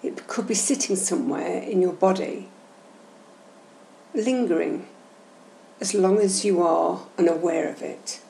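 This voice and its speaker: Female meditation host, soothing instructional delivery, gravelly fry in the voice, slight background noise. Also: lowish voice. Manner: gentle, measured, instructional. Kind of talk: slow, soothing guided meditation narration. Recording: roomy echo, faint background noise.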